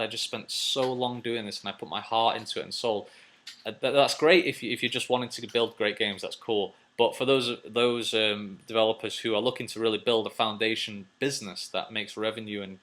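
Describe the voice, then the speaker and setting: A man's voice: on the low side, a bit bright. A man hosting a casual, unscripted advice video, answering viewers as he thinks aloud, rambling and repeating phrases.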